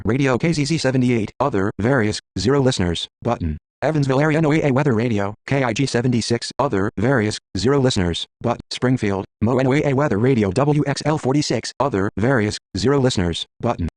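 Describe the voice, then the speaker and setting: A man's voice: medium pitch, no room sound. A synthetic male text-to-speech screen reader, reading out list items in a clipped, mechanical, automated way. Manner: clipped, mechanical delivery of names and codes.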